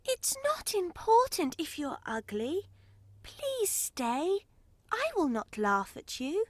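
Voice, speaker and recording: A woman voicing a gentle little girl in a storybook audiobook, speaking softly. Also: extremely high pitch, wildly swooping pitch, takes it slowly, dry recording, full character voice. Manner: soft and gentle.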